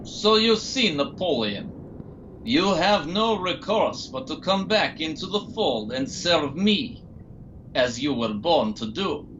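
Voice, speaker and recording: A man's voice: on the high side, very animated, takes it slowly, dull audio, noisy background. A man playing a gloating, controlling villain scientist in an audio drama, pompous and taunting. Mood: smug, taunting.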